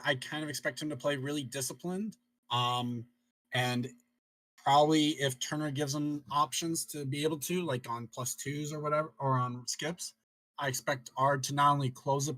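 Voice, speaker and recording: A man's voice: medium pitch, steady tone, moderate pace, a bit bright, dry recording, low-quality recording. A young man giving loose, chatty analysis of an online card game match, full of fillers. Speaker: color commentator.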